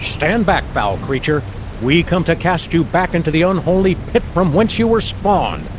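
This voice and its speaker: An actor voicing an earnest, unflappable dog detective with an American accent and a wordy, mock-official delivery for an animated comedy game. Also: male voice, fairly high pitch, normal speed, background noise, natural voice. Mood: heroic. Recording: loud music and rain behind.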